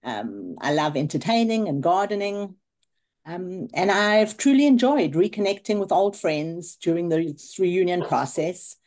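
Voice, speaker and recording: A South African woman in her fifties speaks in a low register with a soft, mellow tone. She gives a personal update, partly prepared, to a group over a video call. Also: expressive, measured pace. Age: 50s. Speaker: class reunion participant.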